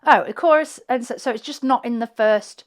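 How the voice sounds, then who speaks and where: Slightly croaky woman explaining her music session. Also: fairly high pitch, brisk. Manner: chatty, thinking aloud while clicking through tracks. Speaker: flutist and home producer. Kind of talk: talking through a music session on screen.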